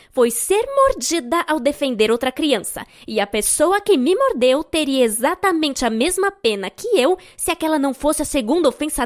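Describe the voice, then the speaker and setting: High-pitched Brazilian woman narrating a story. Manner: expressive, theatrical, sarcastic. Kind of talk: scripted animated storytime narration, fan dub.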